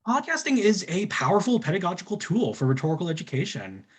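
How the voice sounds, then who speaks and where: Lively-pitched man giving an academic talk. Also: fast talker. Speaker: PhD candidate, co-presenter. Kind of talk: semi-scripted academic presentation.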